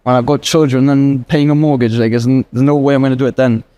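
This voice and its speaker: A young man in his early twenties answers questions with earnest, rambling sincerity, leaning on filler words as he thinks aloud. His voice sits at an ordinary pitch with a mellow, warm tone. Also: little pitch movement, quick pace, clear, resonant tone, dull audio. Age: 20s. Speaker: guest trader. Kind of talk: casual interview answers.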